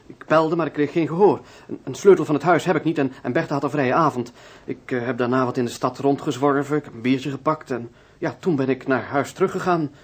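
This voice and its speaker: An actor playing the uneasy, principled adult son of a notary, in a Dutch radio drama, with a husky voice. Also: male voice, medium pitch, brisk, few pauses, close to an everyday voice. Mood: nervous, evasive.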